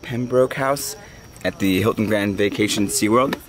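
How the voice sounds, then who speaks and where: A man's voice: fairly low pitch, moderate pace. A man in his thirties narrating a family road trip vlog to a handheld camera, chatty and upbeat, laughing often. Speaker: vlogger, father. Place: restaurant, car, hotel at night.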